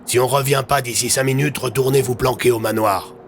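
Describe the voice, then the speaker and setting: A man voicing a gruff, decisive member of an adventure squad in an audio fiction, with a firm manner. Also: medium pitch, fairly even intonation, a little fast, slight background noise, voice pushed up, lightly acted.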